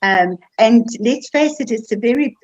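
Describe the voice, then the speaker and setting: A woman taking part in a seminar, casually discussing and asking questions in a halting way, often repeating words. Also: on the high side, expressive, darkish tone, lo-fi sound. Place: online video-call seminar.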